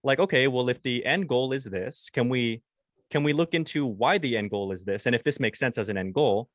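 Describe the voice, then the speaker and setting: A man's voice: mid-range voice, fairly quick, a bit bright, no echo. Rambling young man on a dry mic. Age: 20s. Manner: rambling, many restarts and fillers. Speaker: podcast co-host, dog trainer. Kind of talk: casual podcast chat.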